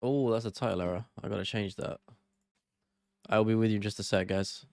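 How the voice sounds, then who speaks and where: A young man hosting a casual live stream, sick with a cold so he sounds nasal and gravelly, chatting and apologizing as he reads out viewer comments. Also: on the low side, fairly quick, dry recording, clean studio sound. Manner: apologetic, relaxed, chatty, thinking out loud. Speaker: MMA live-stream host.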